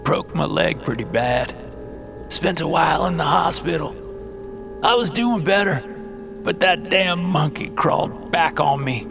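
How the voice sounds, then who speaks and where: A man voicing a worn-down homeless middle-aged man with a hoarse, weary, pleading voice. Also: a little high, measured pace, music in the background, voice pushed up.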